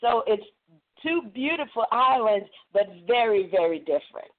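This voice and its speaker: A woman from the Caribbean, a guest author on radio, answering in a gracious, measured way. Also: a little high, very animated. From Caribbean (Saint Croix and Saint Lucia). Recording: tinny phone-line audio.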